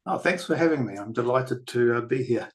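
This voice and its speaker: An older man with a New Zealand accent, a filmmaker and author guest in a podcast interview, telling stories in a reflective, unhurried way. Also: medium pitch, animated.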